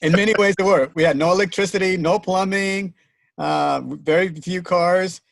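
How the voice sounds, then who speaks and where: A man's voice: high voice, fairly even intonation, normal speed, gravelly, no echo. A man in his fifties who grew up in Mexico, chatting in a laid-back way on a video call and laughing easily. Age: 50s. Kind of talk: casual chat on a video call.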